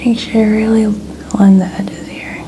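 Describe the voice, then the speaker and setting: A woman in her twenties speaking in a soft, breathy near-whisper, chatting casually and gently as an ASMR host. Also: mid-range voice, little pitch movement, measured pace, poor audio. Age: 20s. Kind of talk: soft-spoken ASMR, casual personal chat.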